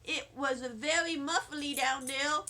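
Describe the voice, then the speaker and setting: A woman reading a children's storybook aloud in an expressive narrating style. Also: sits high, slow. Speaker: storybook reader, teacher.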